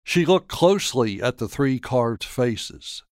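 A man narrating a scripted story in a measured, dramatic storytelling manner. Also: medium pitch, expressive, a little mellow, clean tone, no echo, studio quality. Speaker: author and narrator.

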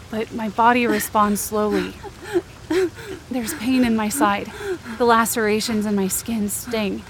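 A woman performing a frightened, determined young woman who narrates her own survival story in intense, emotive, deliberate short phrases. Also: fairly high pitch, a little hiss or hum, close to an everyday voice. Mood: shaken, tense. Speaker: writer and performer of a fiction podcast. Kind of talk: dramatic first-person scripted narration.

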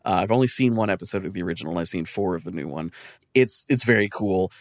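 A man co-hosting a podcast in casual chat, talking in a halting, rambling and blunt way. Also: low-pitched, no room sound, studio quality. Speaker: podcast co-host.

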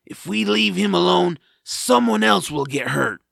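Grumpy sarcastic swamp creature: heavy gravel, bright forceful edge, reluctant hero of a fantasy audio drama. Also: male voice, sits high, lively intonation, a little slow, no room sound, clean studio sound, heavily acted. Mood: stern, taunting. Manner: blunt, sarcastic, grumbling. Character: grumpy nap-loving male swamp creature, gruff reluctant rescuer.

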